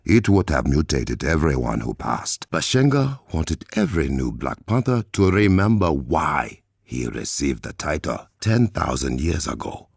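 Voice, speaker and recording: An actor playing a regal, commanding warrior king in a video game, with an African accent, measured formal diction and a gravelly, husky voice. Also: a bass voice, sing-song, dry recording, clean studio sound, full character voice. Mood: solemn, reverent. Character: regal, commanding warrior king, stern and proud, adult man.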